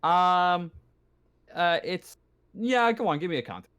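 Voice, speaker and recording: A man acting as game master, talking informally and haltingly as he explains rules to players. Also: high-pitched, lively intonation, a bit bright, smooth. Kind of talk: casual tabletop roleplaying game talk.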